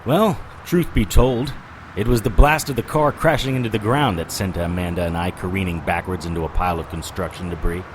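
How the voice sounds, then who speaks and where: A low male voice from an actor as a world-weary paranormal detective in a noir audio drama. He is wisecracking and bossy under pressure, with faint ambient noise behind him. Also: a little fast, close to an everyday voice. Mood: brooding narration. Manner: wisecracking, sardonic. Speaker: lead actor and first-person narrator. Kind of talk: noir-style audio drama narration and dialogue.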